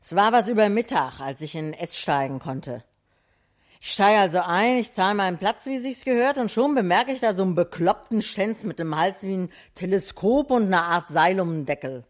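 Cheeky slangy storyteller played by an actor, mid-pitched, mocking and disdainful, for vintage radio comedy. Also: animated, normal speed, natural voice. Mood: cheeky, scornful. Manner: colloquial, mocking. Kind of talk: 1960s radio drama, colloquial storytelling.

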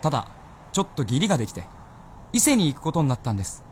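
A hot-headed young gunner, earnest and boyish in a radio drama. The voice is high and swings fervently up and down, with some noise behind it. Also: male voice, very animated, racing, darkish tone. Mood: determined. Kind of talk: Japanese radio drama acting.

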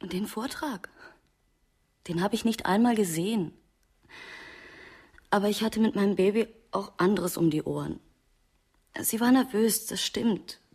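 An actress playing a murder victim's grown daughter, a new mother, subdued and measured in a radio crime drama. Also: mid-range voice, darkish tone, breathy edge. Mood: reflective.

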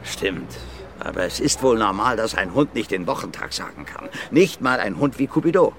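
An actor playing a gruff, blunt violent brawler in his fifties under questioning in a radio drama, plain-spoken and repetitive. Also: lowish voice, animated, moderate pace, a crowd in the background. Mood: defensive, earnest. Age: 50s. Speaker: suspect being questioned.

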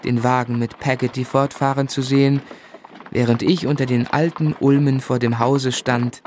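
A man's voice: medium pitch, darkish tone, a little hiss or hum, close to an everyday voice. An actor playing a grown man who recalls his boyhood, narrating a literary radio drama in the first person. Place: dry studio.

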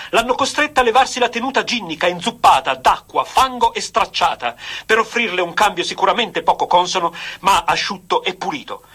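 An actor playing an excitable 1920s radio cycling commentator in a florid, emphatic, declamatory style. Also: male voice, high-pitched, breathy edge, exaggerated voice. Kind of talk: vintage sports radio commentary acting.

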